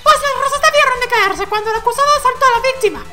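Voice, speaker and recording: Man doing a high, haughty young woman prosecutor. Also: quick pace, music in the background. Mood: scornful. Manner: imperious, scornful, clipped commands. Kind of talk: dubbing a courtroom video game aloud.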